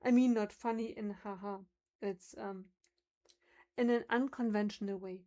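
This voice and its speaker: A woman's voice: medium pitch, fairly even intonation, moderate pace, warm tone. A woman with a German accent, a native German speaker, chatting casually on a livestream and musing aloud over how words are pronounced.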